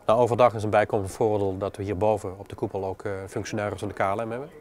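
A man's voice: lowish voice, fairly even intonation, rapid-fire, a little mellow. A man in his forties, an airport gate planner explaining his work in a matter-of-fact way. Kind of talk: documentary interview and work talk. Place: glass-walled airport control tower office.

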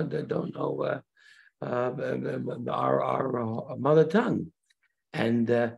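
An older man, a rabbi, giving an unhurried online Torah class, pausing often with fillers and restarts, speaking on a video call from a room by large windows. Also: medium pitch, gravelly, a little mellow, breathy edge. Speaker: rabbi teaching converts.

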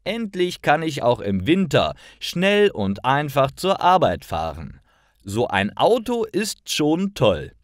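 Eager young male character , played by a male narrator, very expressive, dry sound, storybook dialogue. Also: a little high, slow, dry recording, pitched above the performer's own voice, heavily acted. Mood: pleased. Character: earnest young man asking his friend for advice. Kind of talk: acted dialogue in a learner story.

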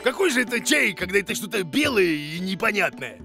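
A bumbling monster teacher from a kids' cartoon, excitable and bossy. The voice creaks and leaps all over in pitch, blustering and exclaiming theatrically, with music underneath. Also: a little fast. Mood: indignant. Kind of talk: children's educational cartoon. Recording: music behind the voice.

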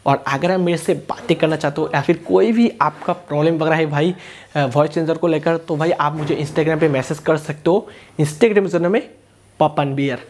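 A man's voice: a little high, moderate pace, darkish tone, slight background noise. A man, hosting a tech tutorial and explaining step by step in a repetitive way. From India. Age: 20s. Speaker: YouTube tech and gaming tutorial host. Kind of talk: scripted tutorial to camera. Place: small indoor room. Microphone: lapel mic.

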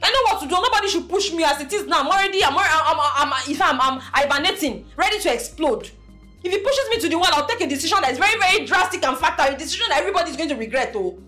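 An actress playing a brash, threatening pregnant young wife in a melodrama, ranting and stammering loudly. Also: female voice, high voice, animated, rapid-fire, faint music behind. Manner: loud, defiant, dramatic, stammering rants. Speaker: actor. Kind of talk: melodrama acting.

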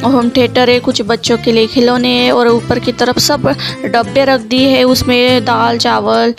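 High-voiced woman, gravelly edge. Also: flat delivery, a little slow, music in the background. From India. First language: Marathi. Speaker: home vlogger. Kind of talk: casual home tour narration. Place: small one-room home, indoors. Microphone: handheld phone.

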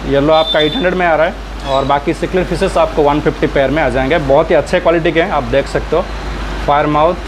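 A man talks viewers through a pet shop in a slightly raised voice, easy and chatty as he lists what is on the shelves. The sound comes from the camera as he walks indoors. Clear shop noise and an air conditioner hum sit behind him. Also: on the high side, steady tone, quick pace. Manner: chatty, pointing out items and prices to viewers. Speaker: aquarium hobbyist vlogger. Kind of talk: casual shop tour narration.

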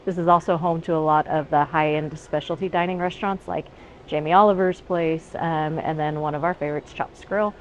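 A woman's voice: low-pitched, fairly even intonation, a little fast, slight background noise, poor audio. A woman co-hosting a travel vlog, talking in a friendly, enthusiastic way while touring an open-air cruise ship deck. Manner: friendly, enthusiastic, frequent agreeing murmurs. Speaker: travel vlogger co-host. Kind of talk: casual walking tour narration.

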